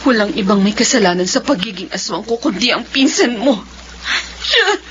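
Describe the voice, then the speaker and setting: A woman's voice: mid-range voice, expressive, normal speed, fairly bright tone, lo-fi sound, slight background noise, close to an everyday voice. An actress playing an anguished, defiant young woman cursed to be a monster, in melodramatic radio horror style. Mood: bitter, sad. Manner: tearful, melodramatic. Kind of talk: Filipino radio horror drama acting.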